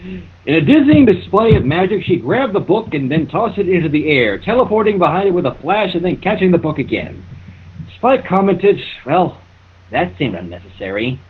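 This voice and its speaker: A man narrating fan fiction in an animated storytelling style. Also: high-pitched, normal speed, breathy edge, phone-quality sound. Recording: noticeable background noise.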